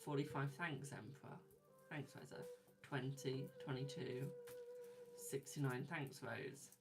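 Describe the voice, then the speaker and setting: Young male tarot reader live-streaming: chatty, quick to laugh, mid-range voice, music underneath. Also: medium pitch, vocal fry. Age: 20s. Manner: chatty, reads viewer comments aloud, laughs often. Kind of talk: live stream chat with viewers.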